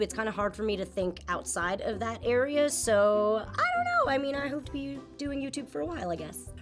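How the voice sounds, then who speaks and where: A young woman from Japan, a native Japanese speaker, chatting upbeat in English as she answers viewer questions in a casual vlog. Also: on the high side. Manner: chatty, upbeat, with small laughs and fillers.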